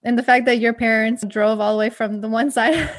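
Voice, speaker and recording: A woman's voice: on the high side, fairly even intonation, a little fast. A woman from Kosovo interviewing a guest, asking questions and reflecting at length in an engaged, conversational way.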